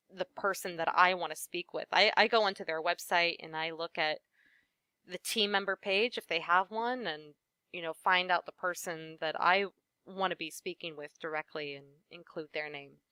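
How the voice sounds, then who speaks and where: A young woman, a voice actress, answering interview questions in a lively conversational way with frequent "um" and "you know". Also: on the low side, clean tone, no echo. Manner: animated, many fillers like "um" and "you know". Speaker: voice actress guest.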